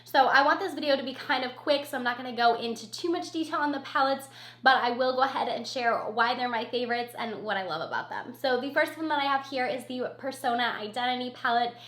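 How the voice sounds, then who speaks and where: A woman's voice: sits high, fast talker, fairly bright tone, roomy sound. A woman beauty vlogger with a cold and a scratchy, sniffly voice, chatting casually and gushing about her favorites, repeating words for emphasis. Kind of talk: casual product review chat.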